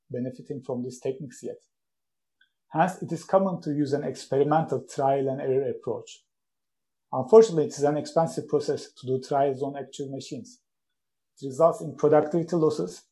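A man in his forties from Turkey, speaking English, who answers interview questions in a measured, explanatory way. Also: mid-range voice, darkish tone. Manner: measured, explanatory, with some restarts. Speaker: guest, company founder.

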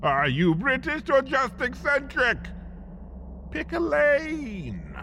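A man's voice: very high voice, wildly swooping pitch, slow, vocal fry, bright, forceful tone, a little hiss or hum. A man reading a scripted comic story in the second person, with theatrical, dramatic delivery and wry understatement, slightly muffled over faint ambience. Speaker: podcast host and narrator. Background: faint ambient sound effects.

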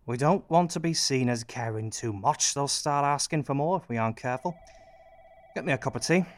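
Man performing self-pitying politician, mid-pitched, bitter, sardonic, cynical prime minister, radio satire. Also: fairly quick, a little hiss or hum, close to an everyday voice. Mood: smug, breezy. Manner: sardonic, bitter, conspiratorial, addressing the audience. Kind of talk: radio play satirical monologue.

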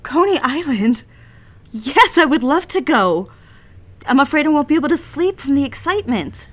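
An actress playing a young woman in love, confiding and reflecting in an earnest, measured dramatic delivery. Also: sits high, sing-song. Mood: excited, loving. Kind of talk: dramatic dialogue and reflective first-person narration.

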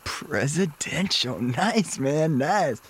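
A gushing, laid-back wellness hippie for an audio drama. His voice sits fairly high for a man and swoops up and down. He stretches his words slowly, like an easygoing surfer bro. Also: expressive, slightly rough, full character voice. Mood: excited, effusive. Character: effusive, laid-back wellness-studio hippie dude, old schoolmate.

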